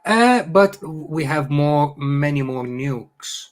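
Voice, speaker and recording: A man's voice: on the high side, lively intonation, slow. A middle-aged man from Iraq, a native Arabic speaker, chatting casually as a podcast guest, jokey and full of hesitant fillers. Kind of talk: casual conversation.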